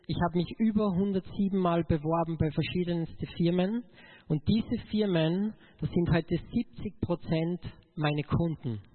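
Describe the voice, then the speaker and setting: High-pitched Austrian man preaching, phone-like sound. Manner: emotional, emphatic, addresses listeners directly. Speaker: motivational speaker giving a testimony.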